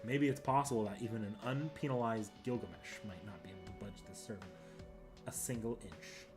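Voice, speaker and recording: A man's voice: on the low side, fairly quick. A man reading a game's story narration aloud, with music playing behind him. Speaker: game narrator, club member.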